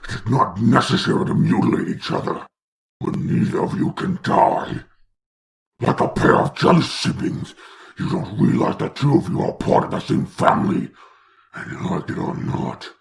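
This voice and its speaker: Man doing demon lord of hell, imperious, low, raspy, dramatic pitch swings. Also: wildly swooping pitch, slight background noise, heavily acted. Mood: commanding. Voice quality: deep, raspy. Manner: imperious, slow, menacing speeches. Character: ancient demon lord of hell, male, commanding and scheming. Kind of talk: fan comic dub.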